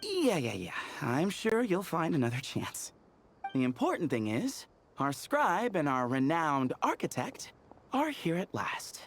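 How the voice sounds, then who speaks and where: A man voicing a practical young expedition organiser in a video game, brisk, matter-of-fact and a little dry. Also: on the high side, huge pitch swings, heavily acted. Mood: dry, placating. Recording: faint background noise.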